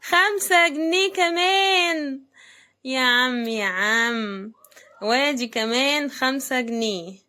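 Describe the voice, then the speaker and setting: A woman's voice: high voice, slow, bright, forceful tone, smooth. A woman with an Egyptian Arabic accent chats casually to her viewers, commenting as she hosts an unboxing video. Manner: chatty, addresses viewers directly. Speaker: host of product unboxing videos.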